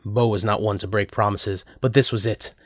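A man's voice: fairly low pitch, steady tone, moderate pace, no echo, clean studio sound. A man narrating a scripted story as the narrator of an audio drama.

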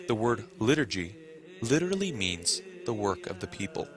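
A man reading a scripted documentary narration in a calm, deliberate way. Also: lowish voice. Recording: music clearly under the voice.

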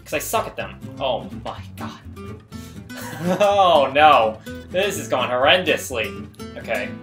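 A man's voice: mid-range voice, big pitch swings, slow pace, fairly bright tone, faint music behind. A man giving casual, exclamatory gameplay commentary as a video host, often putting himself down. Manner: self-deprecating, exclamatory.

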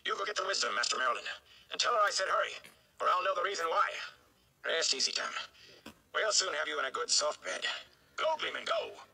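A man's voice: sits high, very animated, brisk, gravelly, long pauses, pushed and bright, pitched above the performer's own voice, heavily acted, rough recording. A man voicing a flustered, brusque, commanding middle-aged village mayor in a storybook, barking urgently.

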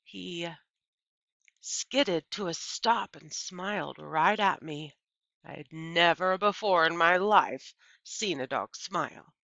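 A middle-aged woman reading a children's novel aloud in an animated, deliberate storytelling manner, like a teacher. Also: lowish voice, creaky, dry recording, highs cut off.

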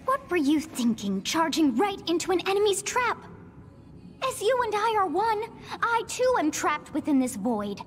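A woman's voice: very high voice, big pitch swings, moderate pace. A woman playing an ancient, girlish goddess in an anime video game, haughty, petulant and solemn, with archaic theatrical phrasing. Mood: solemn reproach. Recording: long reverb, music behind.

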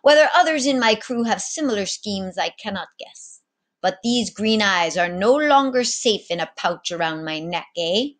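A woman's voice: medium pitch, expressive, normal speed, close to an everyday voice. A woman voicing a sly, commanding male sea captain in a theatrical storybook read-aloud, tagging lines with little questions. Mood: confiding.

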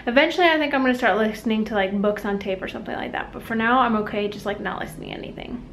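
A woman's voice: a little high, very fast, a bit bright, a little hiss or hum. A young woman in her twenties, chatting casually to a handheld camera at home and in her car, with lots of "like" fillers. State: out of breath and overheated after a run. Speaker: vlogger. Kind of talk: casual daily vlog chat. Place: small home office, kitchen, then parked car. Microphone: handheld camera at arm's length.

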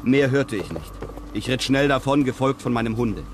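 An actor playing a European traveller who narrates his desert adventures in a measured, literary way. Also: mid-range voice, lively intonation, noisy background, natural voice. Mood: measured storytelling. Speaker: narrator of an audio drama. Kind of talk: scripted first-person adventure narration.

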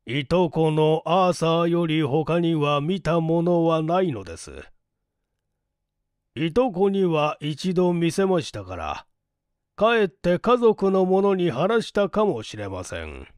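Man playing a slow, courteous middle-aged gentleman. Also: a little high, very animated, smooth, no room sound, clean studio sound. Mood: earnest, troubled. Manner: deliberate, formal explaining.

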